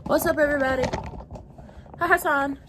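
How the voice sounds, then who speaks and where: A woman's voice: sits high, steady tone, a little fast, background noise. A woman hosting a live stream who talks in a rambling, chatty way straight to her viewers while she is congested. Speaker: live-stream host of a soap watchalong. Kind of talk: casual live-stream chat.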